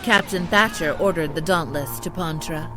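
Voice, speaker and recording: A woman's voice: low voice, normal speed, low-quality recording. A woman reading scripted dramatic narration as the narrator of an audio drama. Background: music.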